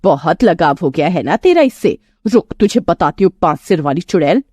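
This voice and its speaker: A woman's voice: lowish voice, very animated, normal speed, darkish tone, slight background noise. A cruel middle-aged stepmother, played by a woman storyteller, full of scorn. She scolds and threatens with melodramatic relish, a true villain of a village storybook tale. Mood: menacing.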